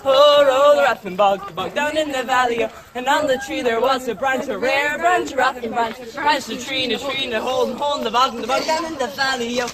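A man leading a cumulative scout song, chanting loudly in a sing-song way. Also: very high voice, quick pace, hardly any pauses. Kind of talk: group campfire song, call and response. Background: crowd of young people singing along.

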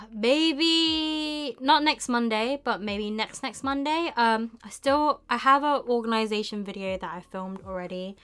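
A young woman hosting a casual live stream, thinking aloud in an unhurried way with murmured asides. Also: on the high side, fairly bright tone, smooth. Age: young adult. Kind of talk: casual chat while shopping online. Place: small indoor room with bookshelves.

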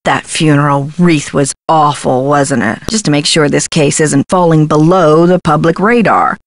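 A woman's voice: low-pitched, fairly even intonation, moderate pace, dry recording. A woman voicing a brash, scheming Hollywood talent agent in a video game, glib and condescending, with slangy talk. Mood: slick, self-assured.

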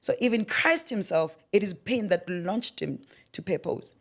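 A woman's voice: medium pitch, lively intonation, measured pace, vocal fry. A South African woman in her thirties, a guest author speaking in a preacherly way and repeating phrases for emphasis, on a studio mic. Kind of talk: faith-based interview talk. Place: podcast studio with a yellow backdrop. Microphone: studio mic on a boom arm.

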